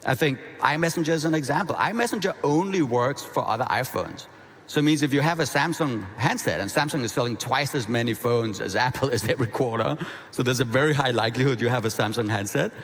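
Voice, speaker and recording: A man with a Danish accent giving a conversational, energetic conference keynote, often restarting his phrases. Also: mid-range voice, fast talker. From half Danish. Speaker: keynote speaker. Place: large conference hall. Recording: reverberant hall.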